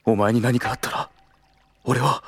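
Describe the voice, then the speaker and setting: A man acting a protective, hot-tempered senior colleague in an audio drama, firm and formal in confrontation, otherwise blunt and rough. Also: medium pitch, steady tone, normal speed, slight background noise, natural voice. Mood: indignant, protective.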